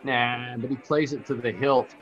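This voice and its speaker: A man's voice: medium pitch, steady tone, moderate pace, a bit bright, lo-fi sound, natural voice. A man playing a sly young American bellhop, hesitant and slangy, in an old-time radio detective drama. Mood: sly, chummy. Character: shifty young male bellhop hustling for tips.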